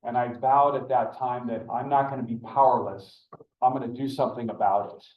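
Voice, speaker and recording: A man's voice: medium pitch, steady tone, a little fast. An older man stands up at a club meeting to introduce a speaker. He talks earnestly and rambles a little, and his voice sounds distant and dull in an echoey meeting room. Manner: rambling, earnest, with many fillers and restarts.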